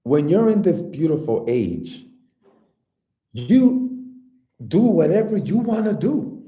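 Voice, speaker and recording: A man coaching his listeners through an earnest motivational talk, speaking straight to them with steady encouragement. His voice is warm and mellow, and he takes his time over each phrase. The room around him rings with a noticeable echo. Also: a little high, slow. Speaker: life coach.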